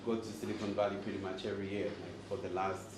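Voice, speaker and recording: Zambian guy talking to a live crowd, lowish voice, jokes a lot, echoey room with noise behind. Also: flat delivery, quick pace. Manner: joking and engaging, quizzes the crowd. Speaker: host and speaker. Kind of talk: live talk to an audience. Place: event room with audience.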